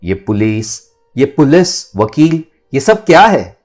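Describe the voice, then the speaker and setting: Angry young antagonist, performed by a male narrator: loud, accusing, swooping pitch, audio-novel drama with music. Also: very animated, slow. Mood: furious. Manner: shouting, accusing. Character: hot-tempered, threatening young man, politician grandson. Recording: music under the voice.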